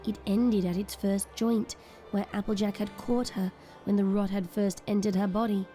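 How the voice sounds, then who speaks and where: A woman's voice: medium pitch, flat delivery, moderate pace, dark tone, faint music behind. A woman narrator reading a scripted, dramatic story.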